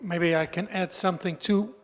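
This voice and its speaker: A man's voice: sits high, fairly even intonation, normal speed. A man giving an unscripted, explanatory expert comment as a panelist at a conference. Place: large indoor conference hall. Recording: echoey hall, long reverb.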